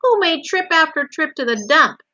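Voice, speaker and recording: Woman as a grumbling, sarcastic old rat, loud. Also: medium pitch, level tone, normal speed, dry recording, lo-fi sound, close to an everyday voice. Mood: indignant. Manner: growling, mocking, grumbling. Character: grumpy, sarcastic old male rat, self-pitying.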